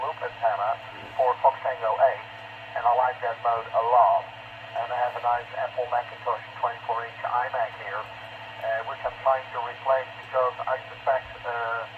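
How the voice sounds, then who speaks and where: A man with a Dutch accent chatting casually as an amateur radio operator, speaking haltingly with long pauses. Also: medium pitch. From Netherlands. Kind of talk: casual ham radio chat. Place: living room at home. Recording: tinny narrowband radio link with clear hiss.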